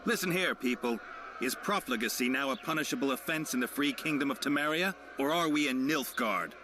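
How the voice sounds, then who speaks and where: A man acting a stern fantasy game monster hunter. His medium-pitched voice is terse and firm as he challenges authority, with clear noise behind. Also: brisk, natural voice. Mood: challenging.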